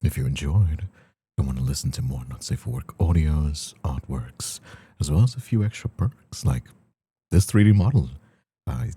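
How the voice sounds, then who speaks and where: Deep-voiced man giving a casual outro. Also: warm tone, slightly rough. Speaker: VTuber and voice actor.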